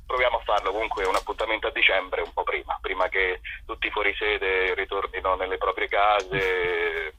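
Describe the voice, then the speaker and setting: A man's voice: low-pitched, little pitch movement, normal speed, few pauses, pushed and bright, breathy edge, low-quality recording. A man talking casually as an interview guest, in a playful, hesitant way, often repeating and restarting his phrases. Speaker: actor and author, interview guest.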